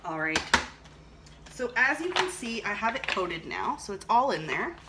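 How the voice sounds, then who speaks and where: Lady rambling through a cooking demo in her kitchen, thinking out loud, dishes clanking and clicking behind her. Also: medium pitch, very animated, moderate pace. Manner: rambling, chatty, thinking aloud. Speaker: vlog host cooking and reviewing a product. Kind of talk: casual chat while demonstrating cooking. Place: home kitchen, indoors. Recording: clattering dishes and clicks, noisy room.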